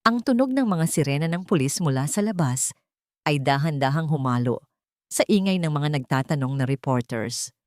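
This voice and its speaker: A woman's voice: low voice, animated, normal speed, a little mellow, dry recording, studio quality. A woman narrating a scripted story in a solemn, melodramatic manner.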